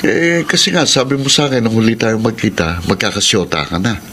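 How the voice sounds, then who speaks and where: A man's voice: fairly low pitch, big pitch swings, fairly quick. An actor playing a self-assured young man who offers to teach his friend how to win a girl, in a radio drama. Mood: confident. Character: confident young man giving dating advice. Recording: loud hiss, clicking noise.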